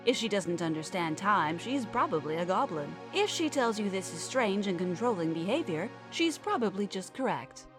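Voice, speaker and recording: A woman narrating scripted fiction in a measured, earnest manner, as a podcast storyteller. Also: medium pitch. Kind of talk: scripted fiction narration, mock advice column.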